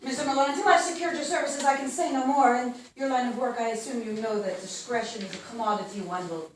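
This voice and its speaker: An actress playing a mysterious, sultry client in a 1930s noir radio play, secretive and formal in a coy, measured way. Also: female voice, on the high side, lightly acted. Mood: coy, pleading. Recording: very echoey, distant, faint noise.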